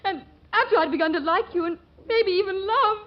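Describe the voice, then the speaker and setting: An actress playing an earnest, reproachful young sweetheart in a 1940s radio comedy. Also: female voice, very high voice, fairly quick. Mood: indignant. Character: indignant, hurt young sweetheart. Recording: muffled 1940s radio broadcast.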